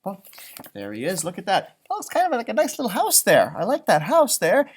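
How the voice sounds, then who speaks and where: A man's voice: sits high, wildly swooping pitch, brisk, husky. A man reading a picture book to children, with chatty, wondering asides as he talks to his young listeners. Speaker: storytime reader for children.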